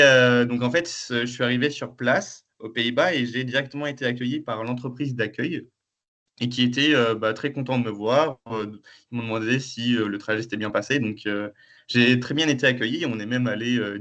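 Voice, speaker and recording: A young man recounts his stay abroad, hesitating and filling the gaps with filler words. His voice is mid-pitched. The audio is dull, like a video call. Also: fairly bright tone. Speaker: apprentice sharing an exchange experience. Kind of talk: webinar testimony.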